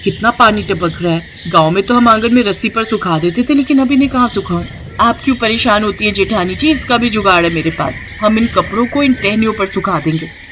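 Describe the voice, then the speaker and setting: Woman voicing an expressive young village daughter-in-law, background noise. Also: medium pitch, a little fast, lightly acted. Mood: fretting, practical. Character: poor, resourceful young village daughters-in-law, eager and complaining. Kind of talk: animated moral story character dialogue.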